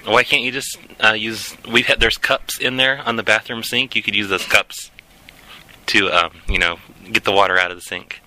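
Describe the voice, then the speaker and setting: A man making a prank phone call as a hotel worker, deadpan and mock-helpful, sometimes turning indignant. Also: fairly low pitch, normal speed. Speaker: prank caller posing as hotel housekeeping. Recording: noisy phone line.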